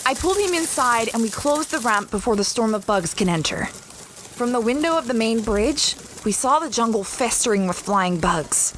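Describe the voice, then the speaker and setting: A woman's voice: medium pitch, slight background noise, natural voice. A woman playing an adventurous, easily flustered young space explorer, narrating her story in an audio drama. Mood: wry recounting. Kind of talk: first-person audio drama narration and dialogue.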